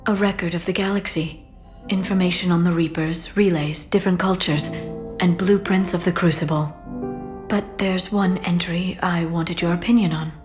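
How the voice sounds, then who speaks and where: A woman playing a gentle, earnest alien scholar in a video game, speaking softly and with measured sincerity. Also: lowish voice, fairly even intonation, music in the background, close to an everyday voice. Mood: earnest, warm. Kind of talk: dramatic video game acting.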